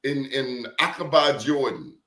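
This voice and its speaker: Animated man telling a story in an echoey room. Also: mid-range voice, unhurried. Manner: animated, emphatic storytelling with rhetorical questions. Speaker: professor and guest. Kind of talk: casual conversational talk.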